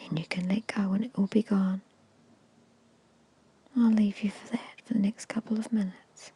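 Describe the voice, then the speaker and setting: A woman whispering a guided meditation in a slow, soothing, hushed storytelling manner, close to the microphone. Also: medium pitch, fairly even intonation, no echo. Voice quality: breathy whisper. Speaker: hypnosis guide.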